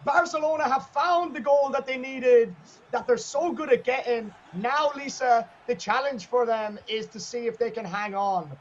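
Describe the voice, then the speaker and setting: High-voiced excitable male esports commentator. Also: normal speed, dull audio. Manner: excitable, shouting at goals. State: losing his voice. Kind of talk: play-by-play commentary.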